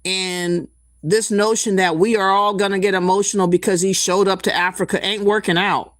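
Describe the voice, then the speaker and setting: A woman's voice: mid-range voice, fairly even intonation, no echo, studio quality. A woman hosting a reaction commentary, talking in a blunt, indignant way and stopping to cue up clips. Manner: blunt, indignant, slow deliberate delivery. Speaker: commentary channel host.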